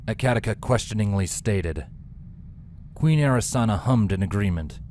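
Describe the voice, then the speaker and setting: A man narrates a fantasy story in a dramatic, scripted reading style. His voice sits on the low side. Faint ambient noise runs behind him. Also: animated, normal speed, a little mellow. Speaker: fan fiction narrator.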